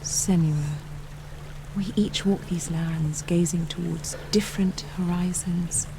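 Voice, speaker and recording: A woman playing a solemn, intimate narrator in a video game, telling the story in a hushed, breathy voice over background noise. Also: lowish voice, fairly even intonation, slow pace. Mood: gentle, solemn. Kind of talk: scripted dramatic game narration. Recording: clear background ambient noise.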